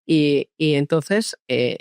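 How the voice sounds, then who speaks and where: A woman psychologist born in France, telling her story in a relaxed interview with many hesitations and restarts. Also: low-pitched, slow, smooth, dry recording, clean studio sound. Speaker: guest psychologist. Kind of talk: conversational interview.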